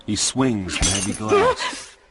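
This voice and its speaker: A man's voice: mid-range voice, wildly swooping pitch, slow, heavy background noise. A man playing a brooding hacker who gives dramatic scripted narration in a radio drama. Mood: brooding, intent.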